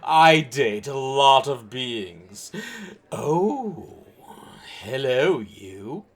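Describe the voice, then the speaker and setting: A woman's voice: extremely low pitch, expressive, extremely slow, full character voice. A woman playing a melodramatic, self-important choreographer with a theatrical, grand delivery in a comic audio drama. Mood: blasé, grand. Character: melodramatic, flamboyant, self-important showbiz choreographer named Milford. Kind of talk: scripted audio comedy drama acting.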